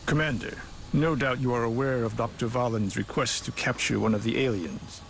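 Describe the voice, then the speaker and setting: A man playing a courteous, thoughtful older chief engineer, speaking formally and deliberately in a video game. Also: mid-range voice, fairly even intonation, gravelly, a little hiss or hum, lightly acted. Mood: measured, formal. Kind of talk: scripted video game dialogue.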